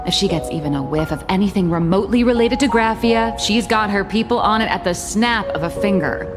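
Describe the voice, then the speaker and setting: Woman as roguish female author, playful, theatrical, breezy, medium pitch, video game dialogue, prominent background music. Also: a little fast, lightly acted. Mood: gossipy, amused. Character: cheeky, breezy female rogue author and trickster.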